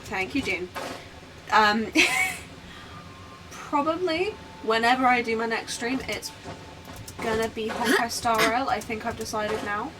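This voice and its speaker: A woman's voice: fairly high pitch, unhurried, pauses often. Girl streamer just rambling while gaming, giggly, bit off the mic, game music going. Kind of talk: casual livestream chat over gameplay. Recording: slightly distant mic, music behind.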